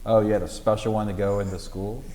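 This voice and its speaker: A man's voice: fairly low pitch, level tone, fast talker, dark tone, in a large hall, a little hiss or hum, poor audio. A man conducting an oral history interview, asking friendly questions with frequent fillers. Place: library room.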